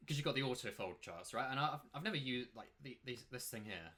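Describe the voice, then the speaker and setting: Male poker streamer, mid-pitched, bright forceful tone, casual rambling commentary with emphatic rhetorical questions. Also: animated, fast talker. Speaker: poker player and coach commentating his own play.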